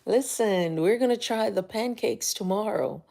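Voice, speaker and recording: An older woman with a Jamaican accent giving motivational talk on a livestream, telling stories animatedly and dropping to whispers. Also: measured pace. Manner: animated storytelling, rises high when excited, drops to whispers. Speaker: life coach and livestream host.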